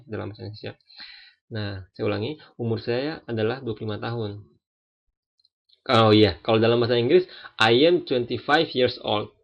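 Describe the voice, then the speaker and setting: Young male tutor, 20s, gravelly low-middle voice, loose and hesitant delivery, dull lo-fi sound. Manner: relaxed, hesitant, many fillers and restarts. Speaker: French language teacher. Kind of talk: casual spoken lesson. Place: France. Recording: dull lo-fi recording.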